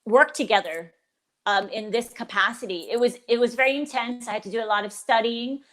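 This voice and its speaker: A woman's voice: on the high side, brisk. A woman in her forties from the Philippines, a stage performer talking enthusiastically about her career in a casual interview. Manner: warm and enthusiastic, with frequent restarts. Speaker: guest performer.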